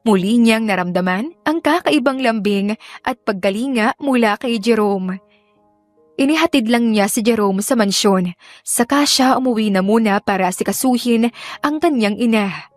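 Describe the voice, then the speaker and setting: High-voiced woman narrating a romance story. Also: normal speed, no room sound, faint music behind. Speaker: audiobook narrator.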